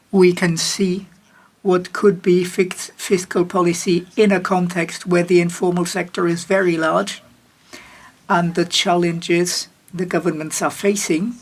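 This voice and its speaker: A woman giving a simultaneous interpretation of a panel chair's remarks, measured, with occasional restarts and self-corrections. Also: on the low side. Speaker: conference interpreter.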